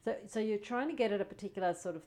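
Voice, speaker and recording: A woman's voice: mid-range voice, very fast, darkish tone. A woman interviewing a home cook, chatty and curious, with plenty of likes and sort-ofs. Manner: curious, chatty, lots of fillers. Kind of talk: casual interview questions.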